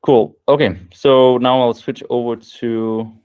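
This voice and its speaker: A man's voice: medium pitch, flat delivery, slow pace, darkish tone, clear, resonant tone. A young man presenting a technical workshop in a casual way, thinking aloud with many fillers and restarts. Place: event room.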